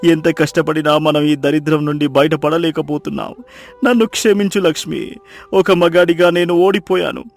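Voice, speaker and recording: Male actor for a humble, wretched village fisherman: highish gravelly voice, pleading, music beneath. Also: normal speed. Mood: sorrowful, defeated. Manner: pleading, lamenting, self-blaming. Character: poor, despairing, humble fisherman husband. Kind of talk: acted moral-story dialogue. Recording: music behind the voice.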